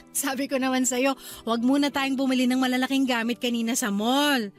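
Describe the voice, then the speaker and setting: Female actor as tender young wife and mother in radio drama, high-pitched, chatty and reassuring, music underneath. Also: fairly even intonation, moderate pace, close to an everyday voice. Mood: light, chatty. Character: affectionate young wife and new mother, apologetic, content. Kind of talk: Tagalog radio drama acting.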